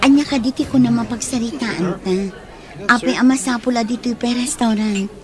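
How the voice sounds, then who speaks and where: A woman's voice: on the high side, brisk, music in the background, close to an everyday voice. A woman playing a guarded, troubled young woman in an audio drama, emotional and defensive, with a Filipino accent. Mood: defensive, puzzled. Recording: busy crowd sounds behind voice.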